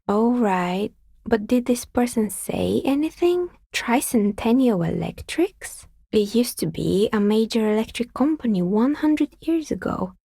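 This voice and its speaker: Wry calm dicemaker lady from a video game, husky with lots of vocal fry, kinda dry understated delivery. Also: medium pitch, no room sound, exaggerated voice. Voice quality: husky, breathy, slightly creaky voice. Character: calm, wry woman artisan who makes and sells novelty dice. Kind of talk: video game character dialogue.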